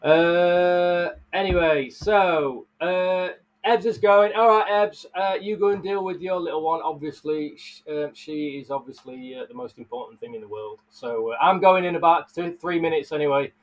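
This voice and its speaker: A man's voice: on the high side, moderate pace, poor audio. A man in his thirties with a blocked-up, nasal voice from illness, rambling casually to livestream viewers and laughing often. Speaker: live streamer and bug keeper. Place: bug room, indoors.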